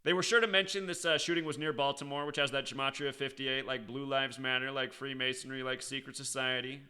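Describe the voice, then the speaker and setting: A man's voice: medium pitch, fairly even intonation, fast talker, pushed and bright, clean tone. An American man giving unscripted online commentary in an insistent, sarcastic manner, repeating key words for emphasis. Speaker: online commentator and video host.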